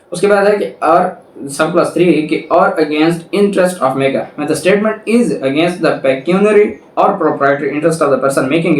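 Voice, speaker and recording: Higher-voiced Pakistani man lecturing, echoey and distant. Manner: methodical, didactic, explains with everyday examples. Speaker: law lecturer. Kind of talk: explanatory lecture, reading statute clauses aloud then explaining. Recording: echoey room, distant microphone.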